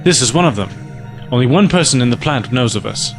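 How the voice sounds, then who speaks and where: An actor playing a calm, capable resistance scientist in an audio drama, matter-of-fact as he explains. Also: mid-range voice, brisk, close to an everyday voice. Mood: explaining. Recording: music behind the voice.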